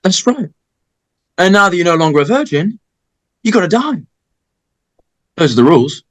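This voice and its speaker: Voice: medium pitch, very animated, moderate pace, dry recording, close to an everyday voice. A performer acting a gleeful, goofy young male killer sidekick in a script read, theatrical and taunting. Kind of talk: dramatic script table read.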